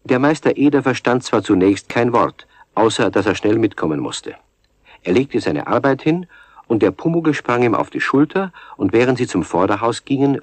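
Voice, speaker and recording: A man's voice: mid-range voice, no echo, lightly acted. A man narrating a children's radio play as a storyteller, in a scripted storybook style. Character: male storyteller of a children's tale.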